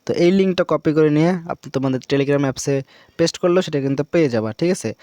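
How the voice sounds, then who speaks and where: Very fast-talking Bangladeshi man, tutorial host. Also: on the high side, dark tone, dry recording. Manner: repetitive, frequently seeking confirmation with tag questions. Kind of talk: casual unscripted screen-recording tutorial.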